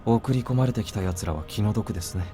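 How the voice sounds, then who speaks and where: A man acts out a visual novel soldier with a lowish voice who talks extremely fast. He is restrained and businesslike, blunt yet deferential, with music behind him. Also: natural voice. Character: matter-of-fact soldier bodyguard, deferential but blunt. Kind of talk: Japanese visual novel voice acting. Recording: game music under the voice.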